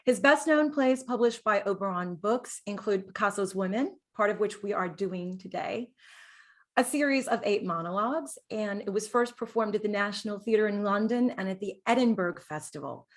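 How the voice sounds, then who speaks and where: A woman's voice: medium pitch, expressive, normal speed. A woman from New York City co-hosting a show, giving introductions that sound partly read from prepared notes. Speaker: co-host, actor and director.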